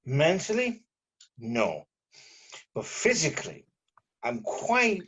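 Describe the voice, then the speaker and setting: A man's voice: sits high, huge pitch swings, slow pace, creaky, dull audio, background noise, exaggerated voice. A man playing a jaded, sarcastic newspaper journalist in an amateur script table read, with theatrical delivery. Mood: tipsy bravado. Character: sardonic, jaded male newspaper journalist, drunk when young.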